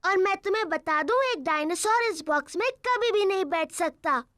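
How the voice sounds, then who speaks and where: A woman's voice: very high voice, lively intonation, normal speed, a bit bright, no room sound, studio quality. A woman voicing a giggly, bossy little girl piglet in a children's cartoon dub, playful and assertive. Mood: bossy, proud.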